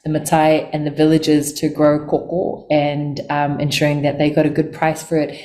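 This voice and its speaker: A woman in her forties from Samoa and New Zealand, talking in a relaxed, thoughtful way with frequent pauses as an interview guest. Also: deep voice. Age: 40s. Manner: relaxed, thoughtful, many ums. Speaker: chocolate maker guest. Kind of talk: casual interview talk.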